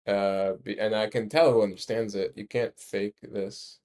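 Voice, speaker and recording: A man explaining his ideas in a casual discussion, halting and rephrasing emphatically as he goes. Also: lowish voice, fairly even intonation, a little mellow. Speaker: speaker explaining a research ranking system.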